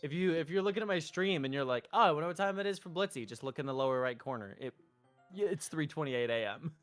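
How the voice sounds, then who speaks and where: A man's voice: fairly high pitch, fast, a bit bright. Man streaming a visual novel. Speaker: streamer, narrator and player character. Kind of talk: voiced visual novel playthrough. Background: music.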